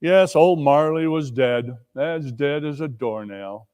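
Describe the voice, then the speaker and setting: A man narrating a classic story theatrically, leaning deliberately on key words. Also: fairly high pitch, darkish tone, clear, resonant tone. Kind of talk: dramatic reading of a classic story.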